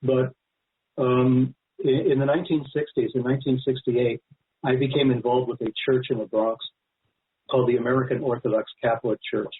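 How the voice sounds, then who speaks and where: Male interview guest on a thin phone-like line, medium pitch, conversational and emphatic, prone to digressions. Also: fairly even intonation, moderate pace. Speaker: guest author. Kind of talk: radio interview, extended explanation. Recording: narrow-band phone-like line.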